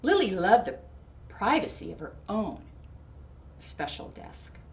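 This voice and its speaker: Animated woman reading a storybook aloud. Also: mid-range voice. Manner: deliberate, emphatic phrasing with asides to listeners. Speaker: storybook reader. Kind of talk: expressive read-aloud narration for children. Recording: distant mic with clear background noise.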